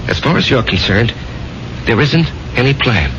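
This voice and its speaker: A man's voice: medium pitch, very animated, normal speed, noisy background, close to an everyday voice. Actor as a troubled, urgent man, dull radio sound. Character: troubled, fatalistic man torn between love and fate. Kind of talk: 1970s radio drama acting. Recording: dull 1970s radio recording, loud music behind.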